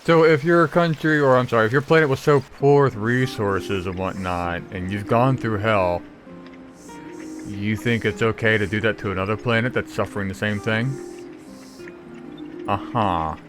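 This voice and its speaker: A man's voice: on the low side, normal speed. A man giving casual, sardonic commentary on a game playthrough, blunt and given to swearing. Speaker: gaming video creator reacting to the story. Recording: game music behind the voice.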